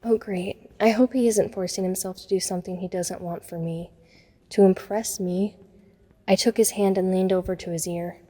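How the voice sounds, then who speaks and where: An introspective teenage girl narrator played by a woman, uneasy and reflective. She tells the story in measured phrases, with a mellow, mid-pitched voice. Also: steady tone, close to an everyday voice. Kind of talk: first-person story narration in audio drama.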